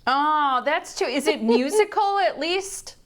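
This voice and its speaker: A woman's voice: high-pitched, expressive, slow. A woman co-hosting a casual broadcast chat, reacting and putting questions to a guest. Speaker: co-host asking the critic questions.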